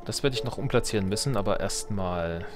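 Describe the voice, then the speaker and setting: A man's voice: lowish voice, brisk, music in the background. A man giving casual let's play commentary on a strategy game, thinking aloud as he plays.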